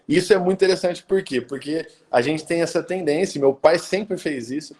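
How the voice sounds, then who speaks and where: A man's voice: on the high side, moderate pace, a little mellow. A man in his thirties from the of São state from that region, giving a casual live-stream talk as a pastor. He speaks conversationally, with many hesitation fillers and tag questions. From Brazil, interior of São Paulo state. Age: 30s.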